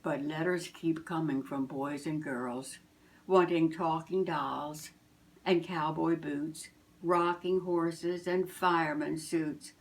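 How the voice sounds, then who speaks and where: Older woman reading a storybook, low and slow. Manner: deliberate, expressive storytelling. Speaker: grandmother reading aloud. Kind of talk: children's storybook narration.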